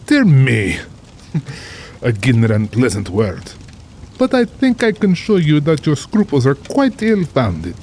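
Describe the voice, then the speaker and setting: A suave, pompous aristocratic general, rain behind. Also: male voice, mid-range voice, huge pitch swings, slow, darkish tone, slight background noise, full character voice. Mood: droll, condescending. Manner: pompous, suave, amused, formal diction. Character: urbane, cold-blooded older aristocratic general, a big-game hunter. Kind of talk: dramatized audiobook acting.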